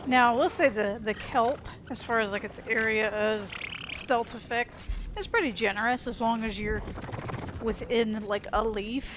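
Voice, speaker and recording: A woman's voice: a little high, fairly even intonation, moderate pace, background noise. A woman giving casual, self-deprecating gameplay commentary, thinking aloud informally as she plays. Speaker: gamer, let's play host. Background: game music and sounds.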